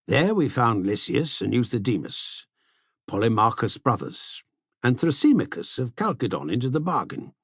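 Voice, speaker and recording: A man narrating a literary audiobook in an expressive storytelling manner, with a gravelly, husky voice. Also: mid-range voice, dry recording, studio quality. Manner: expressive, storytelling delivery with shifts for dialogue. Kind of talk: scripted literary narration with character dialogue.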